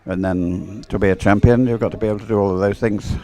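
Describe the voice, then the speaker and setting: A man's voice: lowish voice, fairly even intonation, fast talker, a little mellow. A man doing live sports commentary and off-the-cuff chat in a hesitant, rambling way, often repeating words.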